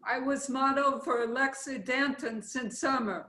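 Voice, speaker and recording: A woman's voice: high voice, measured pace, pushed and bright. A woman playing a brazen foreign artists' model with a Russian accent, speaking blunt, clipped broken English in a play reading. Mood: matter-of-fact. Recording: roomy, echoey sound.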